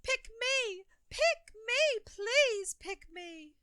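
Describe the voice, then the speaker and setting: A woman's voice: extremely high pitch, lively intonation, very slow, sharp tone, no room sound, clean studio sound, voice pushed up, heavily acted. A woman voicing an eager, awkward little boy who longs to belong, in an exclamatory, pleading storybook manner. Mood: desperate pleading.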